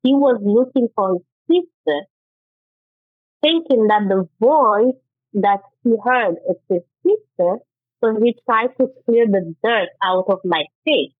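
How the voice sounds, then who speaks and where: A woman's voice: on the high side, breathy edge, dry recording. Woman, earnest. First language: Haitian Creole. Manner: earnest, halting with restarts. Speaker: guest author. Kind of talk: interview, personal testimony. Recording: tinny phone-like line.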